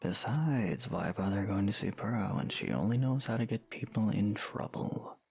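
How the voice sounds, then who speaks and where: A man playing a guarded young swordsman in an audio drama, serious and awkward, muttering and hesitant, with a gravelly, husky voice. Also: on the low side, normal speed, darkish tone. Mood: firm, serious. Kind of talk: audio drama character dialogue.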